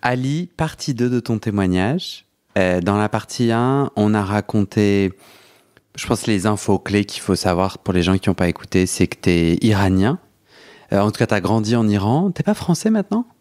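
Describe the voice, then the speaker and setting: A man's voice: lowish voice, lively intonation, moderate pace, a little mellow, no room sound, clean studio sound. A man hosting a podcast, reading his intro and then interviewing a guest, summing up the guest's story with many fillers. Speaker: podcast host.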